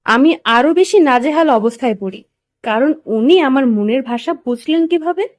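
A woman's voice: sits high, lively intonation, moderate pace, no room sound, studio quality. A woman playing a timid, flustered young heroine in a romantic audio story, with a hesitant, stammering delivery. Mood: bewildered.

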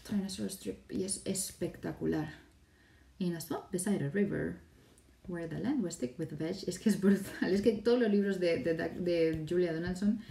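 A woman's voice: fairly low pitch, moderate pace, gravelly, mellow, slight background noise. A woman in her thirties from Catalonia, speaking with a Spanish accent from Catalonia, hosting a casual live stream from home and answering viewers' questions. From Catalonia, Spain. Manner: chatty, reads viewer questions aloud and answers them. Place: home room with bookshelves, indoors. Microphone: phone or webcam in front of her. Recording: echoey room, a little far from the mic.

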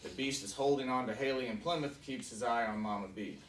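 A man's voice: mid-range voice, brisk, a bit bright. A man speaking as a film director at a table read, informal, reading stage directions and explaining shoots. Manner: informal, peppered with "you know" and "like". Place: small indoor conference room. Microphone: camera mic across the table. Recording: echoey meeting room, distant mic, faint noise.

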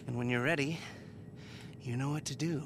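An actor playing a practical, dryly sarcastic heist planner in a video game. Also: male voice, mid-range voice, steady tone, normal speed, background noise, natural voice.

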